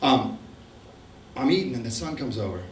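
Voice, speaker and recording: A man's voice: medium pitch, expressive, normal speed, a little hiss or hum. A middle-aged man with an Argentine accent, telling a profane, wry travel story to a live audience. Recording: roomy, distant mic.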